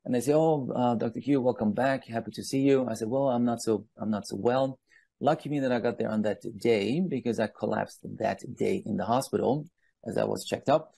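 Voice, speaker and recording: A man in his fifties from Iran speaking as a guest, telling personal stories and explaining in a deliberate, emphatic manner with rhetorical asides. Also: medium pitch, gravelly, warm tone, slightly rough, no echo. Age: 50s. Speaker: guest speaker on palm leaf reading.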